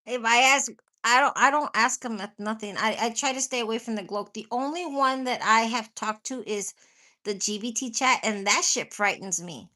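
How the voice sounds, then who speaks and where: A woman's voice: fairly high pitch, normal speed, pushed and bright. A woman hosting a casual live chat with her viewers, rambling, swearing freely and laughing often, with a stuffy nose and a cough. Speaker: livestream host chatting with viewers.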